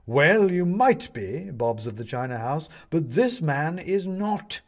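A pompous old-court style owl judge from a ghost story. The voice is pitched up and swoops widely. Every phrase is declaimed with drawn-out, self-important grandeur. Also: male voice, very animated, heavily acted. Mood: solemn, theatrical.

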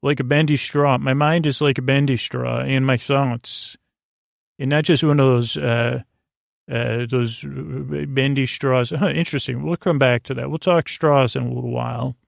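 A man hosting a bedtime podcast with a creaky voice and a lulling, goofy, meandering and halting delivery. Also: medium pitch, fairly even intonation, no echo, clean studio sound. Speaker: podcast host. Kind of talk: rambling bedtime monologue.

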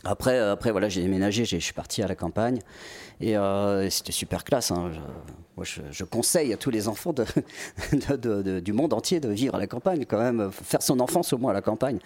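Man, 40s, from the Paris region, lowish voice, easygoing and hesitant interview guest. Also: a little fast, a little mellow. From Paris region, France. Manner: hesitant, many restarts and fillers, laughs often. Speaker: metal singer, guest. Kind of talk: casual interview chat.

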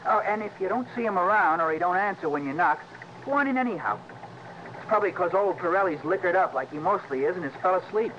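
An easygoing gas jockey rattles along in a high voice. He is folksy and slangy, in a dull-sounding old radio drama. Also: expressive, fast talker. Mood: easygoing, helpful. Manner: folksy, slangy, loose grammar. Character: easygoing, folksy male gas station attendant. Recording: muffled vintage radio recording, faint hiss.

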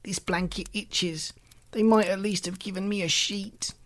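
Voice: mid-range voice, steady tone, a little slow, a bit bright, pitched above the performer's own voice, natural voice. A narrator doing a quavery, whining old man. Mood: peevish, demanding. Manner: querulous, complaining. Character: querulous old man newborn, whining, weary, stubborn.

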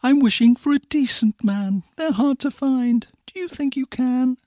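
A man's voice: extremely high pitch, a little slow, darkish tone, no echo, clean studio sound, pitched above the performer's own voice. A man voicing a plucky, plaintive young storybook heroine with an earnest, exclamatory manner. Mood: wary hope.

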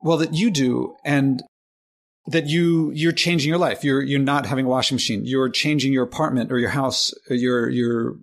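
A man hosting a podcast interview, talking in a halting way with frequent restarts. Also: mid-range voice, steady tone, gravelly. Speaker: podcast host. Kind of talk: conversational interview.

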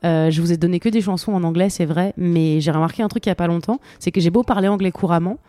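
A woman's voice: lowish voice, fast talker, warm tone, no room sound. A woman on a podcast, enthusiastically talking through song lyrics with many hesitations. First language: French. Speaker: podcast co-host. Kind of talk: casual chat about song lyrics.

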